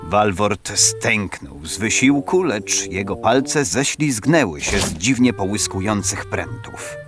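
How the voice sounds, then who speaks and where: A male narrator tells a fantasy story in a low, animated voice. The delivery is scripted, measured and dramatic, with clear music playing behind. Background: background music.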